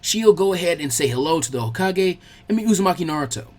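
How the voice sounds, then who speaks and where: Middle-aged male librarian, read by a man, calm, measured, gently stern, audiobook fiction. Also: a little high, faint music behind. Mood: kindly. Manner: measured, mildly chiding. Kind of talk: fan fiction audiobook character voice.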